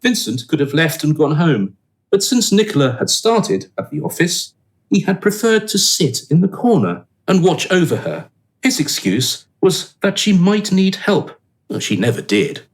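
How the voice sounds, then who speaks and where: A man's voice: a little high, sing-song, a little slow. A man narrating a scripted radio play as its storyteller.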